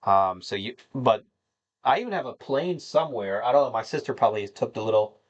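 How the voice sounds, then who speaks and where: A man's voice: medium pitch, lively intonation, a little fast, muffled recording. Rambling American man chatting on stream. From United States (North Carolina). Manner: rambling, filler-heavy, addresses chat as "man". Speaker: livestream host answering chat. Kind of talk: casual livestream chat.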